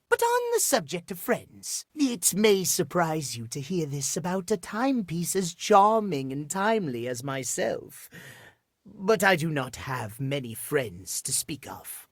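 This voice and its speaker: A high-voiced gentleman timepiece from a video game. He is prim, anxious and easily smitten, with formal old-fashioned diction. His delivery is unhurried but theatrical, leaping up and down dramatically in pitch. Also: no room sound, clean studio sound, full character voice. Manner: theatrical, emotional swings. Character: prim, lovestruck, anxious male living timepiece, fussy about schedules. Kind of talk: video game character acting.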